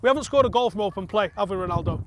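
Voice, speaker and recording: A man, a football coach giving a blunt, sweary pre-match team talk outdoors on a pitch. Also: very fast. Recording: outdoor pitch with faint background noise.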